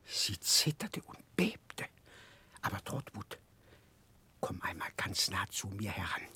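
A childlike, muddled gentleman in a 1960s German radio drama. He confides in a very husky, breathy half-whisper. His halting, conspiratorial speech moves slowly and keeps losing its thread, then swings up and down in pitch. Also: very animated, exaggerated voice. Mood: urgent, conspiratorial. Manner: halting, confused, conspiratorial, self-correcting.

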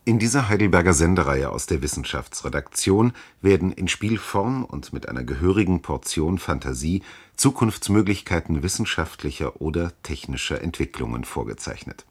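A man's voice: deep voice, expressive, moderate pace, slightly rough. A man announcing a radio series in a scripted 1970s broadcast style.

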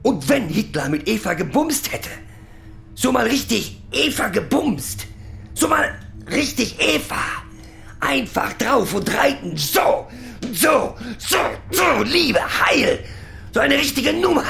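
An actor playing a foul-mouthed, boozy German pub regular who rants crudely and haltingly, sentimental underneath, in a radio drama. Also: male voice, extremely high pitch, sing-song, creaky, sharp tone, soft background music, full character voice. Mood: bitter, ranting. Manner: crude ranting, halting and rambling, swearing. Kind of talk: theatrical radio drama monologue.

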